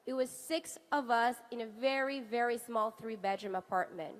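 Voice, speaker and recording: A woman's voice: a little high, normal speed. A woman in her thirties from Venezuela, raised in Canada, giving a rousing political rally speech with rhetorical build-ups. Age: 30s. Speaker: rally speaker, wife of a party leader.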